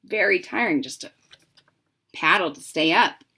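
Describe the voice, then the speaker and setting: A middle-aged woman reading a picture book aloud to children, dramatic and deliberate, with simple explanatory asides. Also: lowish voice, sharp tone, husky. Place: indoors, small home room.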